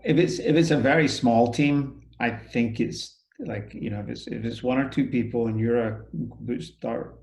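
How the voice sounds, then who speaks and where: A man's voice: medium pitch, steady tone, normal speed, few pauses, a little mellow, roomy sound. A middle-aged man with a Scottish accent, talking thoughtfully as an interview guest, often restarting his sentences. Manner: thoughtful, many restarts and fillers. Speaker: outsourcing company CEO, guest. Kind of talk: casual interview talk.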